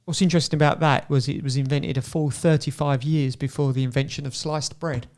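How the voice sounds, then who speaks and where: A man's voice: a little high, fairly even intonation, a little fast, a little mellow. A man hosting a podcast, running a light trivia quiz with his guests and laughing often. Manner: playful, laughs often while quizzing guests. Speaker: podcast host.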